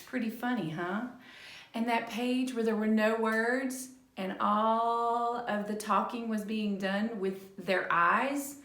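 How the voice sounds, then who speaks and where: Woman, children's story lesson, higher pitch, unhurried, questioning teacherly delivery, roomy echo. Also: a bit bright. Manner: rhetorical questions and tag questions. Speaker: speech-language pathologist reading to children. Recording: echoey room.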